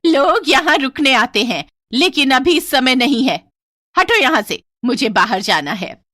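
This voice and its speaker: A woman's voice: high voice, sing-song, unhurried, sharp tone, no echo, clean studio sound, pitched above the performer's own voice, exaggerated voice. A woman voicing a stern, ominous old village caretaker woman in a horror story, with curt commands.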